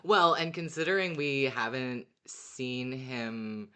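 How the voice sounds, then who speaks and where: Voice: medium pitch, lively intonation, takes it slowly, sharp tone, clear, resonant tone. A podcast co-host talking casually in a fan discussion.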